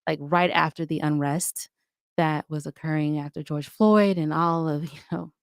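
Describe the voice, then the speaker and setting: A woman with a fairly low voice chats in a casual interview. She is candid and playful, telling things in an animated, conversational way. The sound is dry, with almost no room. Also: normal speed, smooth, no room sound. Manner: chatty, candid, animated storytelling with playful asides. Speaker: podcast guest, audio drama podcaster.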